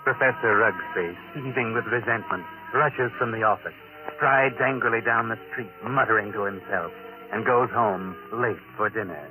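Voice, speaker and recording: A man's voice: fairly low pitch, natural voice, rough recording. A man playing a sinister, knowing narrator of a 1940s radio mystery, dramatic and ominous, on a vintage recording. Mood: suspenseful. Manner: dramatic, ominous, deliberate phrasing. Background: music.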